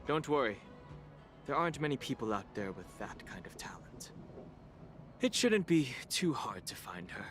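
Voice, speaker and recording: A calm, measured travelling companion from a video game. His mid-range voice is thoughtful, with faint music in the background. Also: mid-range voice. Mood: reassuring.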